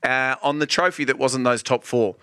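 A man's voice: medium pitch, moderate pace, sharp tone, smooth, no room sound, studio quality. A man hosting a casual sports podcast with a teasing manner, steering the chat. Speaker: podcast host.

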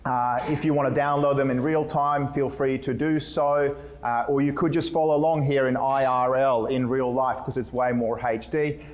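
A man from Sweden with a Swedish-Australian accent, giving a fast, playful keynote talk at a conference. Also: mid-range voice, fairly even intonation. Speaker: keynote speaker. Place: conference room.

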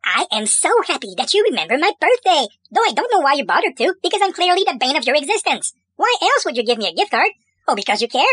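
A woman's voice: high-pitched, sing-song, fairly bright tone, slight background noise. A woman delivering a sarcastic, biting comedic rant. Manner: sarcastic, biting, fast ranting.

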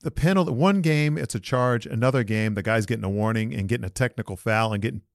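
A man co-hosting a sports podcast, talking in a loose, slangy and opinionated way. His pitch sits in the middle, and the sound is dry and close with no room around it. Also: fast, vocal fry, no room sound. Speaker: podcast co-host. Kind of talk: casual sports chat.

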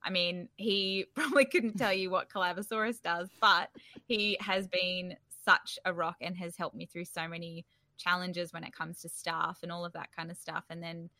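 Interview guest, woman. Forward, bright tone, very dry close sound, informal and encouraging. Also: on the low side, fairly even intonation, moderate pace, dry recording. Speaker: startup founder, interview guest. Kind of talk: casual conversational interview.

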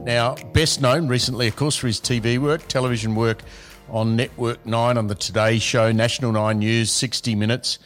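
A man hosts an interview podcast, asking questions in a measured, friendly way. His voice sits at a middle pitch. Faint music plays behind him at times. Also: steady tone. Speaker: podcast host.

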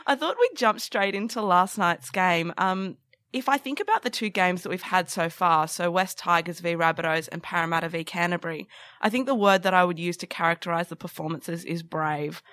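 Brief: woman, radio host, lowish voice, close dry studio sound. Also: brisk, fairly bright tone, no room sound. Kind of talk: casual radio panel chat. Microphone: studio microphone.

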